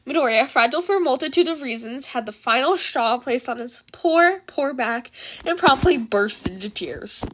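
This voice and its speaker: A woman reading fan fiction aloud as an amateur narrator, with expressive storytelling and casual asides. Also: high voice, normal speed, slight background noise.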